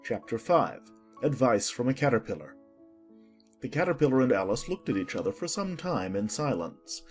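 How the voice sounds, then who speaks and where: A man narrating a children's audiobook in an expressive, scripted storytelling style. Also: medium pitch, normal speed, soft background music.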